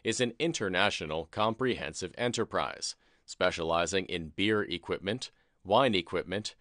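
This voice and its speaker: Male corporate narrator, lower register, formal measured read of promotional script, dry close sound. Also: fairly bright tone, no room sound.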